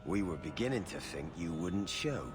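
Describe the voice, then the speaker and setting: A man's voice: low-pitched, lively intonation, normal speed, music in the background. An actor playing a cocky, impatient male thug in a fantasy game, slangy and threatening. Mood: menacing. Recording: long reverb, clear background ambience.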